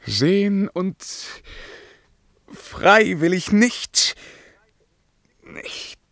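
A tormented emperor in an old radio play, declaiming verse in a tense, gravelly voice. He swings theatrically from hushed whispers to ringing outbursts, recorded close and dry. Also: sits high, big pitch swings, drawn-out words, no echo, exaggerated voice. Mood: yearning, desperate. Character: anguished Byzantine emperor, tormented, brooding, spellbound by a witch. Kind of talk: 1950s German radio verse drama acting.

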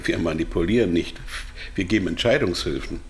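An actor playing a captive pharmaceutical manager, hesitant and anxious to comply, in a German radio drama. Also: male voice, sits low, fairly quick, soft background music, lightly acted. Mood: anxious, placating.

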